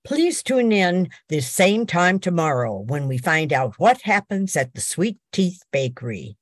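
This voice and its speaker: A woman's voice: fairly low pitch, very animated, measured pace, dry recording, clean studio sound. An older woman reading scripted narration as the announcer of a radio drama.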